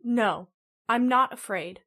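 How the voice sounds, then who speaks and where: A woman's voice: fairly high pitch, slow pace, a bit bright. A woman playing an earnest, fearful young female figure in an old-time radio horror drama. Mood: timid.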